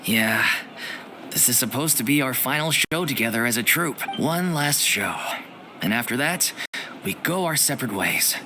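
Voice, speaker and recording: A man's voice: medium pitch, animated, moderate pace, over music, natural voice. A man playing a polite, earnest, worried member of an amateur theatre troupe in a video game, modest and hesitant. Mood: regretful.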